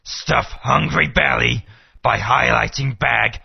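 A man's voice: medium pitch, takes it slowly, creaky, husky, exaggerated voice. A man voicing a dim, pleading dinosaur henchman in a video game, speaking in broken, simplified grammar. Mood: slow, explaining. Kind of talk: video game character acting. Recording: dull lo-fi game audio.

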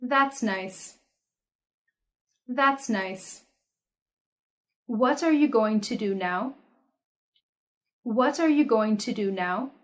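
A young woman, an English teacher, reading everyday practice dialogues aloud slowly and carefully, clearly enunciating and saying each line twice. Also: on the high side. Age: young adult. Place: indoor studio with red curtain backdrop.

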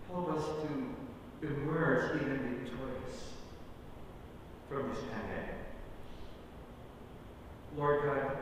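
A man, a pastor, leading a solemn spoken prayer in an earnest, pleading way with halting phrases. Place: church sanctuary, at the pulpit. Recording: echoey church hall from far off, rumbly noise.

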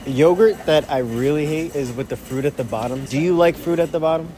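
A man's voice: a little high, fairly quick, dark tone. A man hosting a casual shopping vlog, asking questions and joking in slang. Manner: jokey, slangy. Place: inside a large supermarket. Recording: busy store crowd noise behind.

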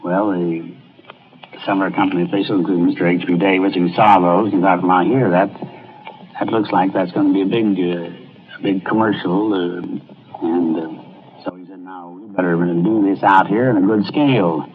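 An older man with an American accent reminiscing informally in a halting, folksy way on an archival recording. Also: sits low, fairly even intonation. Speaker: engineer recalling his work. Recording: tinny, noisy old archival recording.

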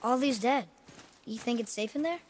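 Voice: medium pitch, moderate pace, slight background noise, natural voice. A young performer playing an eager, earnest, inquisitive boy, son of a warrior, in a video game. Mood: uneasy.

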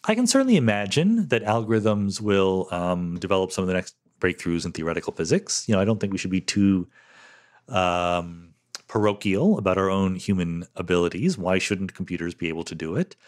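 A man's voice: deep voice, brisk. A middle-aged man hosting a solo podcast, reading listener questions and answering them in a thoughtful, conversational way with fillers and restarts.

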